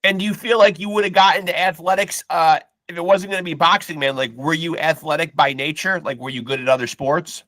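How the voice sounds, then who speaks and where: A man's voice: fairly high pitch, steady tone, fairly quick, hardly any pauses, a bit bright, no echo. A man hosting a boxing podcast, asking questions in a laid-back way, stammering on restarts and calling his guest "man.". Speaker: podcast host. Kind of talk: casual interview.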